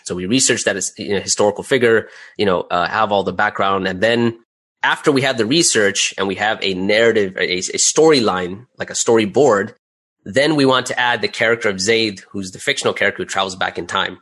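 A man's voice: low-pitched, a bit bright. A man speaking casually as an interview guest, explaining things step by step and checking in with the listener as he goes. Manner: conversational, step-by-step explaining with rhetorical "right?" check-ins. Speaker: interview guest, audio drama creator and voice actor.

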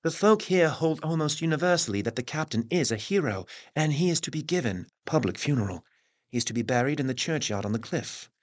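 A man playing a grave newspaper reporter in an audio drama, narrating in a formal, measured, solemn manner. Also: fairly high pitch, expressive. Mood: formal, intrigued.

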